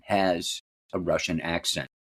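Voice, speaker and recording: A man's voice: low-pitched, little pitch movement, a little slow, a bit bright, husky, dry recording. A man co-hosting a podcast, discussing films casually with a fan's enthusiasm. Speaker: podcast co-host.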